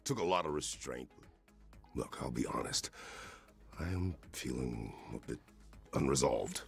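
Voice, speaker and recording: A man's voice: a bass voice, lively intonation, measured pace, gravelly, faint music behind. A man co-hosting a games showcase with scripted, playful, theatrical delivery.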